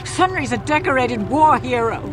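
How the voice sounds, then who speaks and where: A woman's voice: high-pitched, lively intonation, normal speed. A woman playing an earnest, loyal wife in a video game, pleading and insistent. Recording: loud music under the voice.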